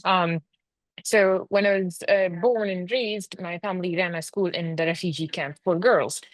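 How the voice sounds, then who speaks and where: A young activist woman from Afghanistan speaks on a video call, her voice a little low and muffled behind a face mask. The sound is dry and close, and her talk is candid and lively. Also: normal speed, no echo. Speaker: panelist, activist. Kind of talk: virtual panel talk.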